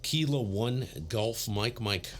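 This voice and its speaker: A man's voice: takes it slowly, background noise. A man hosting an amateur radio livestream, talking casually in a laid-back, rambling way. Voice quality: low voice. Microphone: big studio condenser.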